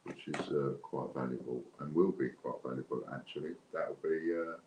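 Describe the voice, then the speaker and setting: A man's voice: very deep voice, fairly even intonation, normal speed. A British man hosting a giveaway livestream in casual, unscripted, chatty and rambling talk. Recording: dull lo-fi, roomy echo, clear background noise.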